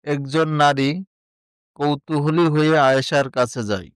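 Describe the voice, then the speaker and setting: A man's voice: a little high, fairly even intonation, a little slow, clear, resonant tone, no echo, clean studio sound. A man narrating a scripted moral story.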